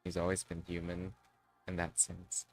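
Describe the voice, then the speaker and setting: A man's voice: deep voice, completely flat delivery, moderate pace. A man livestreaming a game, chatting casually and thinking aloud, with some swearing. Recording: dry close sound, faint music.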